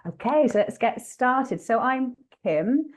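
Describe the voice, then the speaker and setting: A woman hosting an interactive online teaching workshop, talking in a friendly, lively way with many fillers and restarts. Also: a little high, takes it slowly. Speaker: workshop host.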